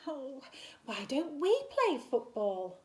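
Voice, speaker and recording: A woman's voice: high-pitched, sing-song, slow pace, pitched above the performer's own voice, heavily acted. A woman voicing a curious, earnest and wistful little girl spider in a children's storybook. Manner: earnest, questioning, plaintive.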